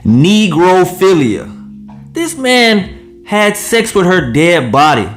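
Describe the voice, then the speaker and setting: A man's voice: sits high, very animated, slow, soft background music. A man in his late thirties giving blunt, profane, indignant reaction commentary. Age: 30s. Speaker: commentary vlogger.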